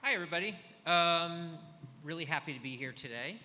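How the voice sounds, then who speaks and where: A man in his fifties with a loud voice, giving a casual, filler-heavy business talk at a conference through a microphone. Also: a little high, lively intonation, fairly quick. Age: 50s. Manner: loud, chatty, many fillers and restarts. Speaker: conference speaker. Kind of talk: business presentation. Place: large conference hall. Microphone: handheld or stand microphone. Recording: hall reverb with faint noise.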